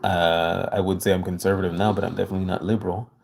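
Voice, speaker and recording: Man, low voice, French native, casual livestream host, rambling with fillers, noisy recording. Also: level tone, fast talker, creaky. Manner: loose, rambling, fillers like "you know". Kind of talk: casual livestream talk, reacting to chat.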